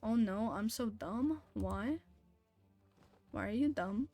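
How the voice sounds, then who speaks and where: A woman's voice: mid-range voice, animated, slow, warm tone, no echo. A woman chatting casually on a live game stream, making short, informal, reactive remarks. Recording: music behind the voice.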